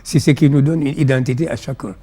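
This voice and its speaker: A man with a Senegalese accent and Pulaar as his first language, explaining ideas in measured, didactic phrases as an interview guest. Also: mid-range voice, fairly even intonation, mellow, slightly rough. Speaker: interview guest, philosophy author.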